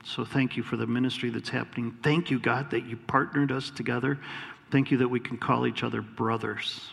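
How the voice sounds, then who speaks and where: Gravelly pastor in a reverberant church. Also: male voice, medium pitch, fairly even intonation. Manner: warm halting delivery with many fillers. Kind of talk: church welcome and prayer. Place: church sanctuary.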